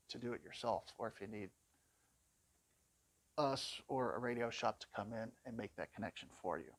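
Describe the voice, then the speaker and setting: A man with a somewhat low voice talks through a product demonstration. He explains in plain, conversational language, informally and step by step. The sound is dry, with very little room in it. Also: no room sound, low-quality recording.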